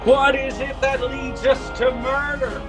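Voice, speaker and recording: A man playing a fervent, solemn preacher, declaiming a drawn-out dramatic sermon about sin. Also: extremely high pitch, little pitch movement. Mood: mournful, fervent. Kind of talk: dramatic sermon in rhymed verse. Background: plucked guitar music.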